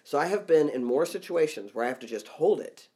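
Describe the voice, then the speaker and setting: A man's voice: a little high, animated, a little fast, mellow. Blunt, fired-up man on a podcast. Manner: blunt, profane, gets worked up. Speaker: podcast co-host. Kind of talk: casual podcast chat.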